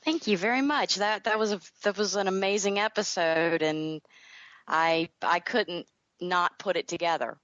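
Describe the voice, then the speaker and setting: A woman's voice: lowish voice, fairly even intonation, moderate pace, a bit bright, dry recording. A woman with a Southern American accent chatting casually as an interview guest about creative work. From Southern United States. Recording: dull lo-fi audio.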